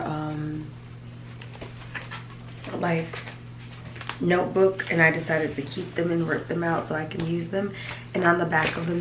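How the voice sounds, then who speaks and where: A woman with a raspy, allergy-hoarse voice, chatting casually to her viewers at home, rambling as she shows her planner. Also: low voice, reverberant, background noise. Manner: rambling, chatty, talks to viewers as "you guys". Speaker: vlogger. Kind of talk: casual chat. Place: home, at a desk.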